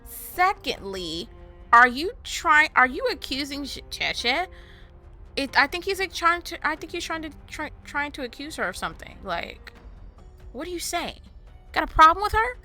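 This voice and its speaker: A woman streamer giving casual, excitable, exclamatory reactions as she plays a game. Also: high-pitched, normal speed, soft background music. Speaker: gaming streamer. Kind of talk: casual gameplay reaction commentary.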